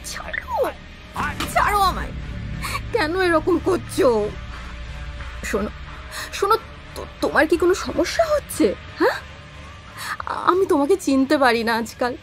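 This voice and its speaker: A woman's voice: high-pitched, wildly swooping pitch, measured pace, pauses often, fairly bright tone, faint music behind, heavily acted, rough recording. A woman acting a defiant, distressed wife in an audio drama, indignant and pleading with her husband.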